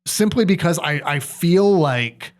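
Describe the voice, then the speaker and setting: A man co-hosting a sports podcast, thinking aloud in a casual, measured and somewhat hesitant way. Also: fairly high pitch, animated.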